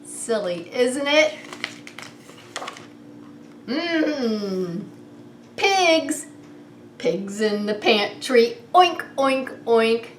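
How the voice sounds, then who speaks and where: A woman's voice: a little high, expressive, very slow, pauses often. A woman preschool teacher hosting story time, talking straight to young children with simple questions in a playful, singsong way. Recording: roomy echo, clear background noise.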